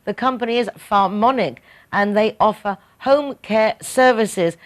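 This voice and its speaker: A woman's voice: medium pitch, measured pace. A woman hosting a radio programme, introducing a guest and asking questions in a friendly, conversational way. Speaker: radio host. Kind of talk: interview hosting.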